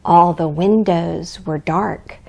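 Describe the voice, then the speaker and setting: A woman's voice: fairly low pitch, slow pace, gravelly, darkish tone. A woman reading a children's storybook aloud in a theatrical way, drawing out key words for emphasis. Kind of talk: dramatic storybook read-aloud.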